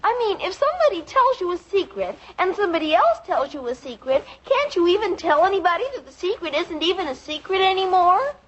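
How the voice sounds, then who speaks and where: A woman's voice: extremely high pitch, very animated, brisk, hardly any pauses, a bit bright, exaggerated voice. An actress playing a glum, stubborn, whiny little girl in a 1950s radio sitcom. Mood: pleading. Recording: noisy, muffled old radio recording.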